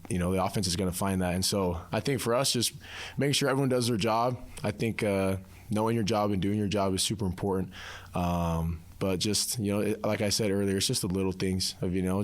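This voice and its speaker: A young man, a college football player, answering casually in a post-practice interview, repeating himself with many "you knows". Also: fairly low pitch. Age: young adult.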